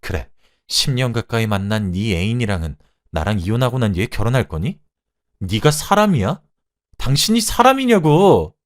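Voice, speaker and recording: A man acting a betrayed middle-aged wife who makes bitter, sharp accusations in a dramatized story. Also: on the low side, brisk, natural voice. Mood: furious outburst.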